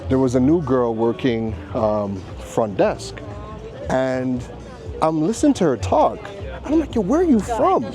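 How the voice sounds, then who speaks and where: A man from Trinidad hosts a casual travel vlog, chatty and quick to laugh. He talks from a busy rooftop bar, recorded on a handheld camera mic. Loud music plays behind him the whole time. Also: mid-range voice. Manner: chatty, laughs often, excitable asides. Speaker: vlogger host.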